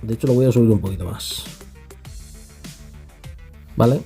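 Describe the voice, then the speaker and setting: A man with a Castilian Spanish accent talks through a tech review in an informal, off-the-cuff way. His voice is mid-pitched and mellow, with music playing faintly behind him. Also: moderate pace, gravelly. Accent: Castilian Spanish from Spain. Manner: informal, unscripted, tags phrases with questioning checks. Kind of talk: casual spoken app review.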